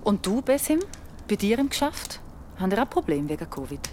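A woman's voice: mid-range voice, big pitch swings, fairly quick, darkish tone, a little hiss or hum, natural voice. A woman playing a chatty, teasing, bookish young friend with a Swiss accent in an audio drama. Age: young adult. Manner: chatty, casual.

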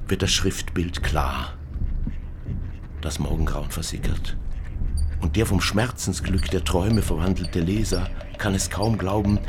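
Male narrator, very low voice, measured poetic reading of literary prose, clear ambient noise behind.

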